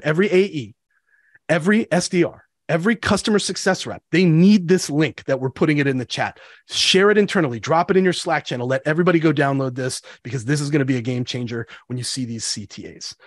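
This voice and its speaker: A man with a cold-stuffed voice hosts a live sales show, pitching it with upbeat, promo-style energy. His voice sits a touch high for a man, and the recording is dry and close with no room sound. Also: dry recording. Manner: energetic, hyped promo delivery. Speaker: show host. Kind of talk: live sales webinar hosting.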